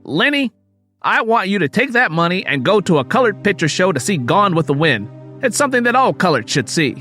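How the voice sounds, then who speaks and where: A man doing a high, condescending old Southern storekeeper. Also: very animated, fairly quick, sharp tone, soft background music, heavily acted. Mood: insistent, overbearing. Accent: American South. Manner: patronizing, domineering, emphatic.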